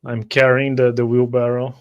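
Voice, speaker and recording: A man playing a tabletop game, describing his character's actions haltingly, often repeating words. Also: mid-range voice, completely flat delivery, smooth, highs cut off. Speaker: tabletop player. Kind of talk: descriptive roleplay narration.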